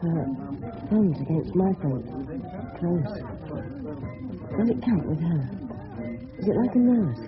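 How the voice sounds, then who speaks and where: A man's voice: sits high, expressive, slow pace, long pauses. An actor playing a polite, inquisitive English schoolboy in his teens in a radio drama. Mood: brooding, private. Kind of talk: radio drama acting with inner monologue. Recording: dull radio recording with music behind.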